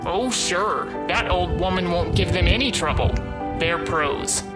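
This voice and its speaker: Woman as a slow, deadpan, low-voiced ghostly spirit. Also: lightly acted. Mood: deadpan, snippy. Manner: deadpan, grandly ominous. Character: weary, snippy female spirit of Christmas future. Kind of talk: scripted audio comedy acting. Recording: music under the voice.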